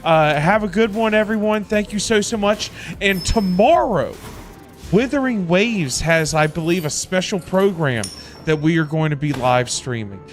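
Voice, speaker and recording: A man's voice: sits high, lively intonation, normal speed. A man chatting casually as a livestream host, exclamatory and effusive with his viewers. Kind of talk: casual livestream chat and game commentary. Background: background music.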